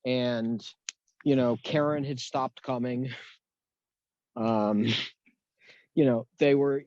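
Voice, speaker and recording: A man's voice: medium pitch, dark tone, no room sound. A man reminiscing casually and in a rambling way on a group video call. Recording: dull, dry video-call audio.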